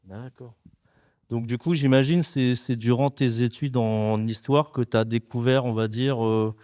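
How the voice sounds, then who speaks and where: Man, interviewer, hesitant questions, smooth resonant tone, mellow, dry. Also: medium pitch, steady tone, dry recording. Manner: hesitant, many filler pauses. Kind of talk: festival interview.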